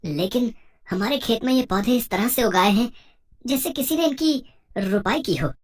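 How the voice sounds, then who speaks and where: A woman voicing a humble, puzzled village farmer and husband in a moral story, with a simple, expressive delivery. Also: a little high, normal speed. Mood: puzzled, amazed. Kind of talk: moral story cartoon dialogue.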